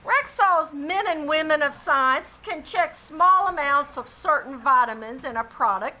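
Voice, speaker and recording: A woman's voice: high-pitched, animated, a little slow, sharp tone, exaggerated voice. A woman playing an earnest, folksy family druggist and announcer in scripted 1940s radio style, with emphatic, careful salesmanship. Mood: earnest, assured. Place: theater stage in a live performance.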